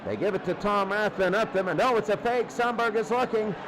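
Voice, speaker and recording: A man's voice: high-pitched, brisk, very noisy. A man doing live radio play-by-play of American football, repeating down and distance in short bursts. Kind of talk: live sports radio commentary.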